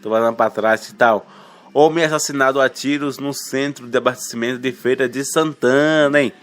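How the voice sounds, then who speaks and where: A man from Bahia, reading radio news headlines informally and haltingly, with self-corrections, colloquial asides and a slight cold. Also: medium pitch, steady tone. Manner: colloquial, halting, stumbles over words and self-corrects, tags remarks with questions. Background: music.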